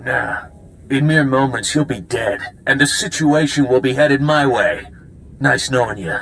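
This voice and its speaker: An actor playing a cocky, treacherous criminal in a video game, taunting and smug. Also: male voice, mid-range voice, lively intonation, measured pace, creaky, fairly bright tone, faint music behind. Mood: smug, dismissive. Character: cocky, treacherous male criminal gang enforcer.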